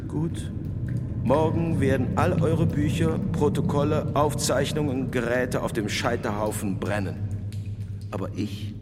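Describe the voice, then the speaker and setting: A man's voice: medium pitch, very noisy, natural voice. Man playing a smug, menacing bishop in an echoing hall. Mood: condescending, sly. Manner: commanding, deliberate, slipping into breathy hushed tones. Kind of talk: German radio drama acting. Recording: reverberant hall, loud music underneath.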